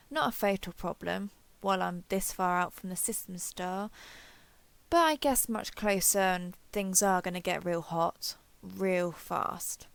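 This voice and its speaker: Creaky-voiced, wry starship captain played by a woman. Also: mid-range voice, dry recording, natural voice. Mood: wry, resigned. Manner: sardonic, grumbling, casual spoken asides. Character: wry, weary alien spaceship captain, explorer, awed by discovery. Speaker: audio drama performer. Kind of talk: acted internal monologue as captain's log.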